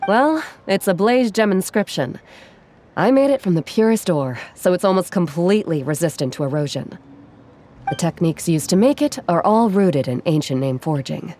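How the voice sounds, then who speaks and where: A caring woman craftsman, voiced by an actress in a fantasy game, speaking in a lowish, calm voice. She talks gently and modestly and is quick to apologize. Faint game music sits behind her. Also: natural voice. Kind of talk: game cutscene dialogue.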